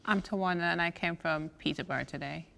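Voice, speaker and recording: A woman speaks in a casual question-and-answer style. Her voice sits on the low side and is noticeably creaky, with plenty of fry. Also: fast, fairly bright tone, long reverb tail, a little hiss or hum. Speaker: housing tenant in a peer interview.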